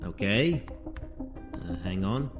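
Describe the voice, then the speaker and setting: A man's voice: fairly low pitch, big pitch swings, slow, music in the background. A man acting in an audio drama as a businesslike lead scientist, giving procedural orders in a commanding way. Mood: brisk, focused. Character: businesslike male lead scientist running an experiment.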